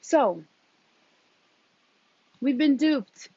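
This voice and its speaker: A woman speaking as a spiritual coach in a motivational talk, deliberately, in short phrases with emphatic repetition and a husky voice. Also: sits high, lively intonation, warm tone, no room sound. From New York, of Colombian background. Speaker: transformative coach and intuitive guide. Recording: dull, lo-fi sound.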